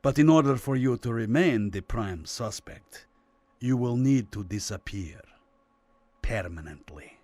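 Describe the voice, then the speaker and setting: A man playing a coolly ruthless Soviet spy in a radio drama, speaking with formal, measured menace. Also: mid-range voice, lively intonation, dark tone, dry recording. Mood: cold menace. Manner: measured, ominously formal, mock-sympathetic.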